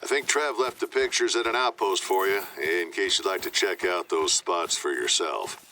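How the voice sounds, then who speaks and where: A man's voice: deep voice, normal speed, sharp tone, a little hiss or hum. An actor playing a nostalgic older father in a video game, talking in a folksy way to an old friend. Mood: nostalgic, friendly. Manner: folksy, reminiscing. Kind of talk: scripted video game dialogue.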